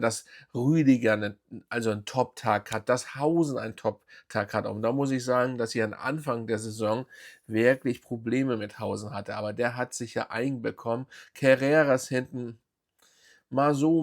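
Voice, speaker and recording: A man talking through football transfer news on his own channel in a loose, rambling way. His voice has a gravelly, creaky edge and sits at an ordinary pitch. He stops often to restart his sentences and fills the gaps with small hesitation sounds. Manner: rambling, many fillers and restarts. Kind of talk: casual fan commentary vlog.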